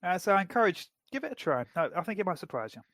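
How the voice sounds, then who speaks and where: Fast-talking man, dry close sound. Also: high-pitched, very animated, fairly bright tone, no echo. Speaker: podcast co-host. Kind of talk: casual discussion of film and TV.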